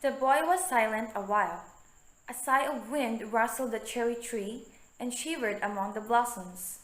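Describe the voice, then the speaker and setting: A woman's voice: a little high, normal speed, a bit bright. Echoey young woman narrating a story. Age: young adult. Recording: echoey room with background noise.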